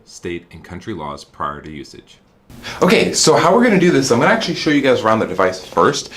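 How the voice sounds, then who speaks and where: A man's voice: low voice, a bit bright, slight background noise. A man presenting a product review as a specialist, explaining things to viewers in an informal, practical way. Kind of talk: product review presentation, part scripted, part demonstration.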